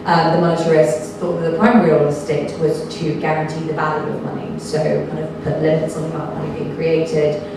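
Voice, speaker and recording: A woman researcher giving a talk at a panel event, her voice slightly croaky, explaining steadily with many ums and kind-ofs. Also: sits low, flat delivery, fast. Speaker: think tank researcher, panel speaker. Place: event hall. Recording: echoey hall, from far off, noisy.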